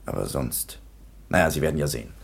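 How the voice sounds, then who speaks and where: Deep gravelly police commissioner, tired, dry and matter-of-fact. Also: male voice, fairly quick. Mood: stern. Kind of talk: radio play acting.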